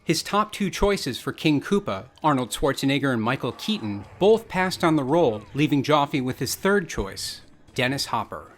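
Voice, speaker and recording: A man's voice: medium pitch, very animated, normal speed. A man reading scripted narration for a film video essay. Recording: music bed under the voice. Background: background music.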